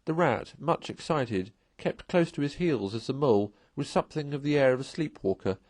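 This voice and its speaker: A man reading a storybook aloud as narrator, in an expressive storytelling style. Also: medium pitch, normal speed, no echo. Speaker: audiobook reader.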